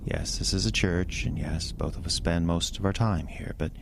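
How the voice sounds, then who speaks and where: Horror radio play pastor: low-pitched, weary, halting, confessional, faith crumbling. Noisy track. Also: male voice, gravelly. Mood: grave, disillusioned. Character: weary, despairing male pastor losing his faith. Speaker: radio drama actor. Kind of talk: horror audio drama acting.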